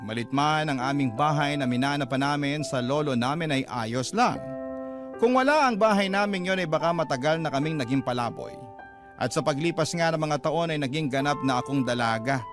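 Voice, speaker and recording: Higher-pitched Filipino man narrating over music. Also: brisk. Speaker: narrator of a letter sender's story. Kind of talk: first-person dramatic storytelling.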